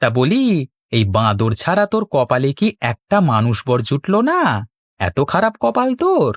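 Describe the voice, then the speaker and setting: A man's voice: mid-range voice, huge pitch swings, a little slow, no echo, studio quality. A man voicing a flighty, childish cartoon young man in his early twenties, teasing in a whiny, mocking manner.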